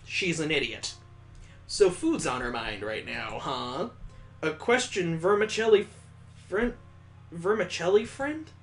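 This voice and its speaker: A man's voice: fairly high pitch, animated, slow pace, long pauses, soft background music. A man reading a visual novel aloud as a let's play host, adding sarcastic, playful asides.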